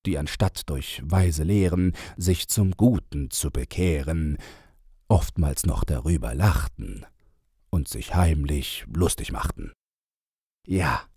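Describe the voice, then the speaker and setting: Deep-voiced, expressive male storyteller. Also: husky, no echo, studio quality. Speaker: audiobook narrator. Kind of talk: scripted narration of rhyming children's verse.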